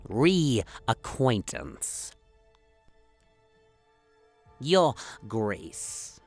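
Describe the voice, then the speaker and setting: Gravelly alien jailer, scheming n deferential, super halting, pitch swinging around, radio play w music under. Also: male voice, very animated, darkish tone, no echo, full character voice. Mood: startled, uneasy. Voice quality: gravelly, creaky. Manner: halting, drawn-out speech with questioning tags.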